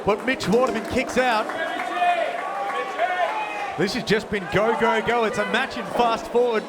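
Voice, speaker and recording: A man calling a wrestling match play-by-play, excitable and quick to banter with his co-commentator. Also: extremely high pitch. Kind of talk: live sports commentary. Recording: loud crowd noise behind commentary. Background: noisy wrestling crowd.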